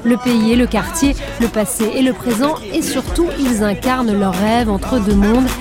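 A woman narrating her radio documentary in a measured, lyrical, scripted delivery. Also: a little high. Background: clear music.

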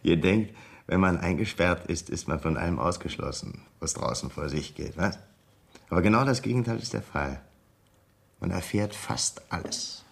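Radio thriller: escaped convict blackmailer, deep and husky, sardonic, controlled, quietly threatening, insistent on money. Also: male voice, lively intonation, moderate pace, exaggerated voice. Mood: quietly confident. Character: cool, menacing escaped convict demanding money. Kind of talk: German radio crime drama acting.

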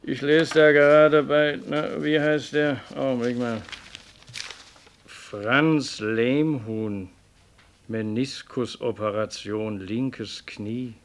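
An actor playing a hesitant, dutiful junior office clerk in a German radio play. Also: male voice, medium pitch, steady tone, takes it slowly. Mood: puzzled.

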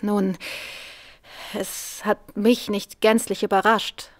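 Actress as nervous, halting woman in distress. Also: medium pitch, level tone, natural voice. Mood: nervous, earnest. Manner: hesitant, halting, earnest. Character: nervous, anxious woman seeking a detective's help. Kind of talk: radio drama acting.

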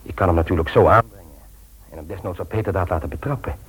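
A man's voice: low voice, fast, a little hiss or hum, lightly acted, poor audio. A male radio play actor playing a conscientious family man, speaking naturally, hesitantly and earnestly. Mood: troubled, earnest. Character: conscientious, troubled family man and office worker, jovial host. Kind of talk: naturalistic Dutch radio drama acting.

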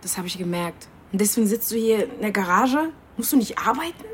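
A woman's voice: mid-range voice, expressive, fairly quick, slight background noise, close to an everyday voice. A woman playing a worried but firm young girlfriend in a radio drama, speaking directly and reproachfully. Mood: concerned, reproachful.

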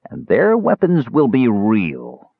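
A man voicing a shrill, scheming male dwarf slave-owner who snarls, sneers and cackles. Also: wildly swooping pitch, takes it slowly, vocal fry, husky, no room sound, exaggerated voice. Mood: gloating. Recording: dry, phone-like narrow band.

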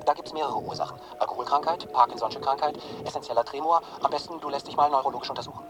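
A man's voice: medium pitch, racing, noisy background, close to an everyday voice. An actor playing a matter-of-fact man explaining things in an audio drama. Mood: advising.

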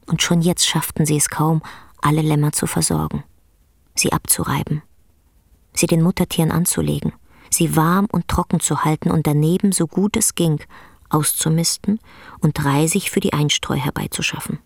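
A woman performing as the grave, intense narrator of a bleak literary radio drama, telling it in a measured way. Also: deep voice, steady tone, creaky, breathy edge, dry recording, studio quality, heavily acted. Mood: grave, tense. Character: female storyteller of a bleak rural tale, grave and intense. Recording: music under the voice.

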